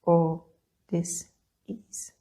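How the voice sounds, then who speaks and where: A woman leading a guided meditation, speaking in a soothing, gentle way with long pauses between phrases. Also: lowish voice, wildly swooping pitch. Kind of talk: guided meditation, calm instruction.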